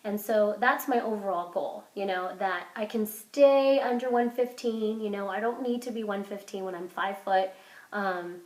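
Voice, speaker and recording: A woman vlogging casually while sick with a cold, her voice hoarse and congested. She rambles with lots of fillers and restarts, keeping an upbeat, self-encouraging tone. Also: medium pitch, normal speed, a little mellow. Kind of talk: casual vlog chat. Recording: roomy echo.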